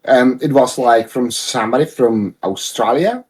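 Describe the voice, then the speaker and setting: A man's voice: medium pitch, a little slow, breathy edge. A man speaking English with a non-native accent, telling a story in a casual podcast chat and acting out the quotes as he goes. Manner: animated storytelling, acts out quotes. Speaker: podcast participant.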